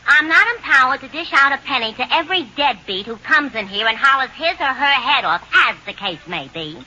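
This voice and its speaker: An actress playing a brash young working-class clerk who talks in sarcastic slang, in radio sitcom style. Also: female voice, sits high, animated, a little fast, bright, forceful tone, heavily acted. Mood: needling. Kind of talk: 1950s radio sitcom acting. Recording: noisy, muffled old radio recording.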